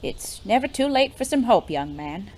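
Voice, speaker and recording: A woman's voice: a little high, big pitch swings, darkish tone, slight background noise. Woman playing a courteous, formal frontier doctor. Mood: gentle, polite. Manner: formal, polite, measured. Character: courteous, earnest small-town Western doctor. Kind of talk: audio drama acting. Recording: guitar music behind.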